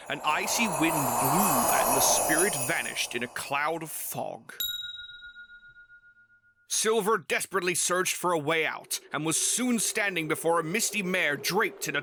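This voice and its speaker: A man's voice: on the high side, bright, forceful tone, a little hiss or hum. A man reading scripted story narration as the narrator of a fan fiction tale. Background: music.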